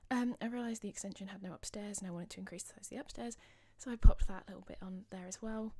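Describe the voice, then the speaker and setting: A young woman with a slightly husky voice, rambling casually over a game build video. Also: medium pitch, fast, warm tone, dry recording. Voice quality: slightly husky, losing her voice. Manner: rambling, chatty, self-deprecating. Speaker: gaming channel host.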